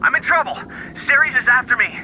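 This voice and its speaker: A man's voice: very high voice, moderate pace, sharp tone, a little hiss or hum, heavily acted, low-quality recording. An actor playing an eager, nervy young male informant in a video game, chatty and informal in manner. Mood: panicked.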